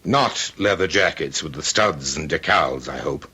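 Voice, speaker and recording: An actor playing a fussy, aloof, wealthy middle-aged bachelor in a radio drama, speaking with pompous precision and dry wit. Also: male voice, extremely low pitch, animated, measured pace, bright, forceful tone, slightly rough, heavily acted. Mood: wary, haughty. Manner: pompous, precise, drily witty. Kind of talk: old-time radio drama acting.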